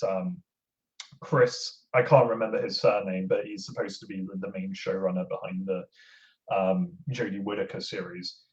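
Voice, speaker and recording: A man chatting casually as a podcast guest, speaking in a halting, rambling way. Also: deep voice, a little mellow, dull audio.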